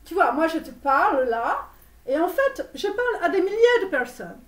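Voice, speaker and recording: Woman doing a comic romanian-accent tarot lady for an online channel, really high voice, pushy n repetitive, roomy sound. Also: very animated. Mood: musing, preachy. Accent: put-on Romanian. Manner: repetitive, halting, theatrical, insistent. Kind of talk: parody tarot reading spoken to viewers. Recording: roomy echo, distant microphone.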